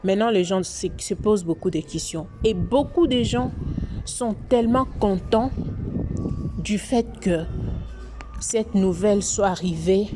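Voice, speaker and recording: A woman's voice: medium pitch, a little slow, music in the background. A woman from Congo, hosting a commentary vlog in a preachy, moralizing way that repeats its points. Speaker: YouTube channel host.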